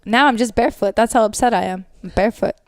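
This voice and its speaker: A young American woman with a loud voice, telling stories as a co-host in casual, animated podcast chat and swearing freely. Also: fairly high pitch, quick pace, no room sound, clean studio sound.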